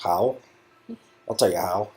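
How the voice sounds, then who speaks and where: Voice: sits low, measured pace, mellow, slightly rough, voice pushed down, full character voice. A performer voicing a self-deprecating, wisecracking young male Hollywood agent in an earnest, conversational game-dialogue reading. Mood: wry.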